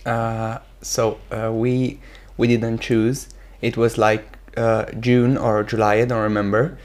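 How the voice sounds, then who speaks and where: A man's voice: mid-range voice, flat delivery, slow pace, darkish tone. A seventeen-year-old man with an Italian accent, answering casually in an interview and hesitantly searching for words. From Italy. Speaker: exchange student guest.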